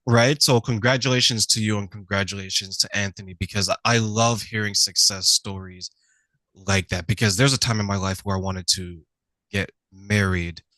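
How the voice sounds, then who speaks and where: A middle-aged man hosting a conversational interview, telling stories in an animated way and often checking in with the listener. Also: on the low side, normal speed, no room sound, rough recording. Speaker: talk show host.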